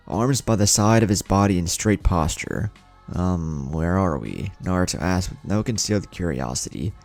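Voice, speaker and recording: Male narrator for fan fiction: low, creaky voice with a varied melody, faint music underneath. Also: darkish tone. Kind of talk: scripted story narration.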